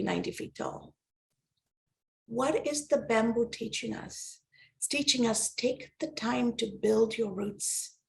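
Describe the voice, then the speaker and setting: A woman hosting a wellness podcast, speaking in a slow, emphatic, inspirational way. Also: a little high, vocal fry. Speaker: podcast host.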